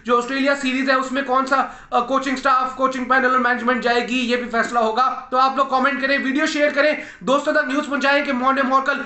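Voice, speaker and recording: A man's voice: very high-pitched, level tone, fast, few pauses, sharp tone. A Pakistani man in his thirties with an Urdu accent, delivering breaking cricket news to the camera with emphatic rhetorical questions. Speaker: cricket commentator. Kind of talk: breaking-news video commentary. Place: indoor room with curtains. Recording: echoey room.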